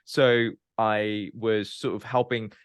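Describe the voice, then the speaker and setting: A man in his thirties from Malaysia, a scientist guest explaining his career in a measured way with frequent "um" and "you know". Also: fairly low pitch, flat delivery, clear, resonant tone, no echo, clean studio sound. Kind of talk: interview, recounting his career.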